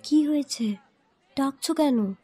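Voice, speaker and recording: Coy, mellow young woman in love, acted. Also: high-pitched, slow, faint music behind, some chatter behind. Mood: coy, teasing. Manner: playfully scolding.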